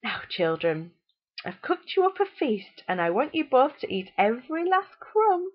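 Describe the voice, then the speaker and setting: A woman voicing a cruel, theatrical storybook mother who scolds in a drawn-out, menacing way. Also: sits high, very animated, highs cut off, pitched above the performer's own voice, full character voice. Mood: sickly sweet menace. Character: cruel, shrieking mother, false-sweet and menacing.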